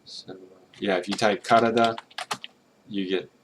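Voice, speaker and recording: Male streamer, lowish voice, tentative musing commentary, faint hiss and typing in the background. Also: fairly even intonation, measured pace. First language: English. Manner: musing, tentative, mutters Japanese readings to himself. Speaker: streamer translating a game. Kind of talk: casual thinking-aloud commentary.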